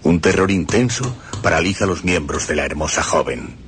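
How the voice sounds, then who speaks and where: A man narrating a horror tale for radio drama in an expressive way, swelling on the frightening passages. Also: sits low, normal speed, a little hiss or hum.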